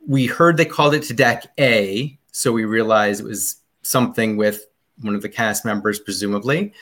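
A man's voice: on the low side, normal speed, fairly bright tone. A man as a podcast guest in casual chat, rambling about a trip with many ums and restarts.

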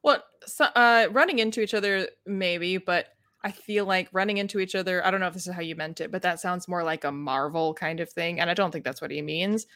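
A woman's voice: on the low side, a little fast, few pauses, a bit bright, dry recording, clean studio sound. A woman co-hosting a podcast, casually discussing books in an analytical, explanatory and wry manner. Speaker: podcast co-host.

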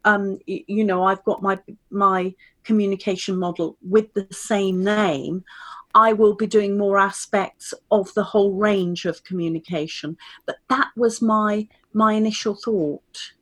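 Podcast guest: woman speaking trainer, measured and articulate, mid-range voice. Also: medium pitch, steady tone. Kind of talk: conversational interview.

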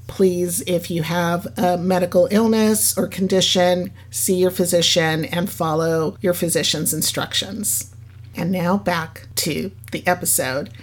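Woman podcast host, scratchy voice, slightly low pitch, rambling casual monologue. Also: steady tone, unhurried. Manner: rambling, chatty, laughs at herself.

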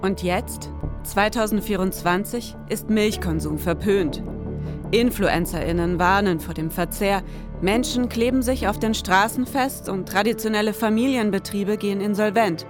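Female podcast co-host, forties: mid-pitched, explanatory, scripted delivery, long reverb over music. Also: normal speed. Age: 40s. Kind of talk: scripted, performative podcast narration.

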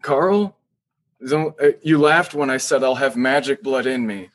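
A man's voice: mid-range voice, measured pace, close to an everyday voice. A man playing an anxious, pleading young wizard in an improvised comedy sketch, stammering and repeating his words.

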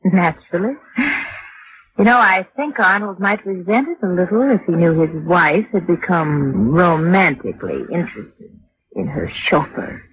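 Haughty actress as a wife in vintage radio drama. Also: female voice, fairly low pitch, animated, normal speed, slight background noise, lightly acted. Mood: cool, haughty. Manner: haughty, breezy, condescending. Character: cool, dismissive married woman ending an affair. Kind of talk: 1940s radio acting. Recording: dull vintage radio recording, faint music.

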